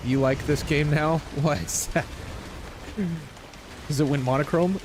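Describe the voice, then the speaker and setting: A man's voice: a little high, fairly even intonation, measured pace, noisy background. An American man in his late thirties chatting casually as he live streams a game, often distracted and thinking aloud. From west coast of the United States. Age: late 30s. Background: video game music.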